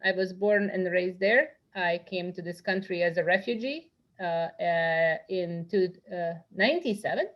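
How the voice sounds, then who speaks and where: A woman's voice: lowish voice, moderate pace, hardly any pauses, dull audio. A woman from Croatia introducing herself on a video call, hesitant and full of fillers. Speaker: workshop participant. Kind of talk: casual self-introduction.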